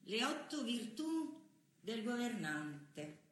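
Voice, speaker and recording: Idealistic woman leader, stage monologue, high voice, slow and declamatory, roomy echo. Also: very animated. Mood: solemn, fervent. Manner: declamatory, deliberate. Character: idealistic woman political leader expounding ancient Buddhist principles.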